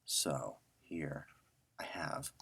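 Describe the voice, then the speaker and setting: A middle-aged man giving a casual audio software tutorial, talking informally and thinking aloud as he works. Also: very deep voice, lively intonation, very slow, creaky, slightly rough. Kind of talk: casual screen-share tutorial.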